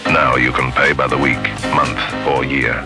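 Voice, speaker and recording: A man's voice: a bass voice, fairly even intonation, unhurried. A man reading a scripted 1970s radio commercial as announcer. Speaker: advertisement announcer. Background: music.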